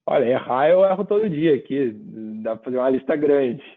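A man's voice: fairly high pitch, lively intonation, brisk. A man from southern Brazil, giving reflective interview answers and often ending with tag questions. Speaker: guest. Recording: phone-like narrow line.

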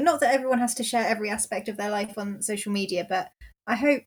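Chatty British woman on a panel. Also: on the high side. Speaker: podcast panellist. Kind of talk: casual chat.

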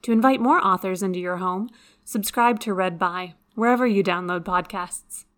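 A woman with a medium-pitched voice reads a scripted podcast outro. She delivers it as an announcer would, in prepared, orderly sentences. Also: normal speed. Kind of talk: scripted narration, closing credits.

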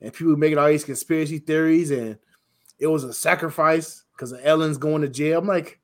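A man with a rough voice talking bluntly and casually as a co-host on a talk show, sounding exasperated. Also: on the high side, fairly even intonation, a little fast. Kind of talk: casual opinionated conversation.

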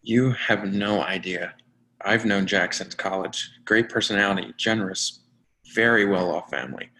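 A man acting as a reluctant, exasperated lovesick friend in a play reading, halting and flustered with deadpan retorts. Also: lowish voice, poor audio. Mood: defensive, dejected. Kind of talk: readers-theatre comedy-drama acting.

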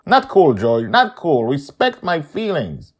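A man voicing a frightened young man who yells in panic. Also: fairly high pitch, huge pitch swings, slow, husky, voice pushed up, exaggerated voice. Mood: indignant. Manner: panicky yelling.

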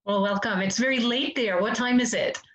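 A woman hosting an online group discussion over a video call, friendly and halting with frequent ums as she welcomes participants. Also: a little high, a bit bright.

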